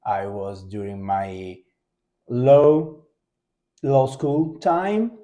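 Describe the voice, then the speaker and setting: Sicilian man, non-native English, casual co-host chat, warm low tone. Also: steady tone. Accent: Italian. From Sicily, Italy. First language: Italian. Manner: halting, searching for English words.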